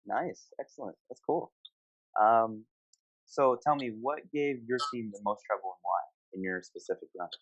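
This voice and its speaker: A man with a lowish voice moderates a gaming discussion in an informal, affirming way. He asks his guests questions casually. The audio is somewhat dull and lo-fi, like an online voice call. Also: moderate pace. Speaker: host of a gaming discussion panel.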